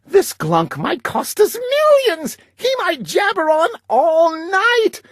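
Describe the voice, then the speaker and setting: Someone putting on a shrill, fretful little sister. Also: huge pitch swings, slow pace, no room sound, clean studio sound, exaggerated voice. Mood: panicked. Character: alarmed, bossy, pleading little sister, a girl. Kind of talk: rhyming children's storybook character voice.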